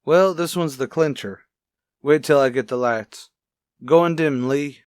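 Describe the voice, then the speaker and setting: A man playing a calm, sober police detective partner in a radio crime drama, low-key and matter-of-fact. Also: measured pace, clear, resonant tone, no echo, studio quality, lightly acted. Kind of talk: old-time radio crime drama acting.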